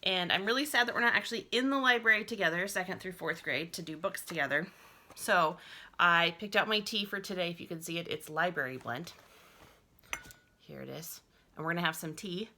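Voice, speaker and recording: A woman's voice: lowish voice, fairly quick, a little hiss or hum. A woman librarian talking casually to children and reading a picture book aloud, expressively acting out sounds. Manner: expressive, acts out sounds and lines. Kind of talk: friendly casual talk and animated picture-book read-aloud. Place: basement at home.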